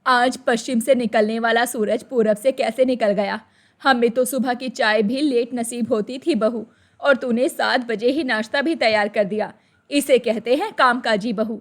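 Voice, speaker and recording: Woman playing a bossy old grandmother-in-law, pitched down. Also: normal speed, lightly acted. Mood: wry, approving. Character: elderly bossy grandmother-in-law, sharp-tongued family matriarch.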